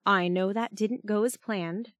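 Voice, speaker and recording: A woman voicing a calm, firm female team leader in an audiobook, soothing and measured. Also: medium pitch, dry recording, lightly acted.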